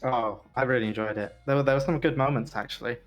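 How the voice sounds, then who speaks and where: Medium-pitched man chatting, music behind. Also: fairly even intonation, fast. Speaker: player in a tabletop game. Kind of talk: casual chat. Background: faint background music.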